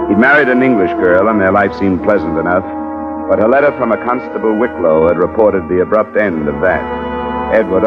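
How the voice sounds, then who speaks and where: A male actor as a tough American insurance investigator with a low voice, reporting in a matter-of-fact, businesslike way. It is classic radio detective drama, on a muffled old recording with loud music. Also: lightly acted. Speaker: lead actor and narrator. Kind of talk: 1940s-50s radio detective acting, clipped report narration. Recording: dull, band-limited old radio recording.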